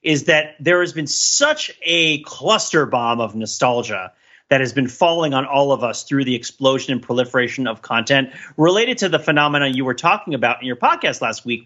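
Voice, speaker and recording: A man's voice: mid-range voice, animated, normal speed, fairly bright tone, lo-fi sound. A man speaking as a podcast co-host in a casual pop-culture discussion, rambling and jokey, often repeating his phrases.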